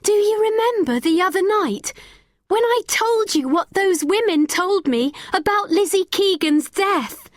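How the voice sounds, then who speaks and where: A frantic, shrill teenage girl, as a woman puts her on cries out her accusations in a storybook reading. The voice sits very high and loud. The sound is dry and close. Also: animated, a little slow, no room sound. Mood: pleading. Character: frightened furious teenage girl.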